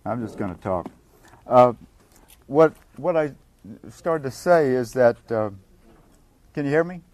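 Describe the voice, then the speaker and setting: An older man giving a casual workshop talk to a live group on a handheld mic, halting and often restarting his sentences. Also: medium pitch, animated, a little mellow. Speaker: workshop speaker at a recovery meeting. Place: meeting room with an audience. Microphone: handheld microphone.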